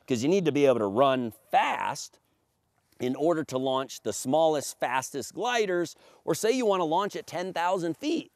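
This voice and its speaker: A man in his forties pitching his gear with a sales-pitch punch, his voice leaping up and down in lively swings. He stands out in an open field, and the sound is dry with no room around it. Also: no echo. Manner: emphatic, salesman-like, reading points off a sheet. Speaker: paramotor maker demonstrating his gear. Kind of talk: promotional product walkthrough. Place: open grassy field outdoors by a fence. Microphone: camera at a few meters, standing and gesturing.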